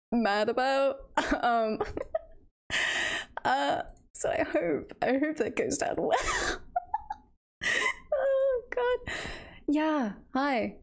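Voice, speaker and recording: Nervous, giggly woman streamer, slow and high-pitched. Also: big pitch swings. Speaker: streamer hosting a first livestream. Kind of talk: casual livestream chat.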